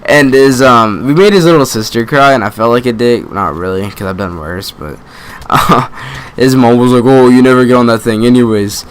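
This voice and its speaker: A man's voice: medium pitch, normal speed, clean tone. A young man telling a story casually as a gaming creator, rambling and slangy, often swearing and interrupting himself. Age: young adult. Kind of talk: casual storytelling over gameplay.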